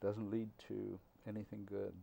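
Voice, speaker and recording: Older guy, low gravelly voice, super slow and halting, lots of uhs. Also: monotone, dark tone, a little hiss or hum. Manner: slow, halting, with many uh fillers. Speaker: interviewee. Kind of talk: reflective interview answer.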